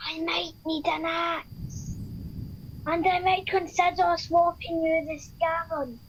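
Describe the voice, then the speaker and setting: A squabbling, haggling soldier voiced by a woman in a comic radio play. The voice is extremely high and slow, with faint noise behind it. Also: level tone, highs cut off. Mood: haggling, grumbling. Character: bickering, haggling soldier with sore feet. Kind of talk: radio comedy acting.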